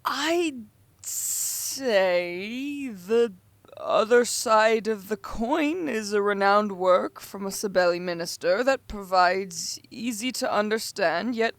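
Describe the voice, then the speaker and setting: Actress as a nervous bookish young lord, lively, dry close mic. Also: female voice, mid-range voice, dry recording. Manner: flustered, formal. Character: young nobleman heir, anxious and bookish.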